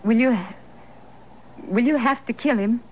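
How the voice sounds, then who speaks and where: An American actress playing a proud, grieving frontier daughter in an old radio western, bitter, curt and questioning. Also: female voice, on the high side, measured pace, lightly acted. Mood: wary, wavering. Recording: dull, noisy vintage radio recording.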